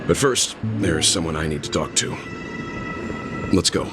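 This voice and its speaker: An actor playing a wise, cautious mature mentor in a video game, measured, reflective and reserved. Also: male voice, rumbling low, animated, noisy background. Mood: calm, pondering. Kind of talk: English game voice acting.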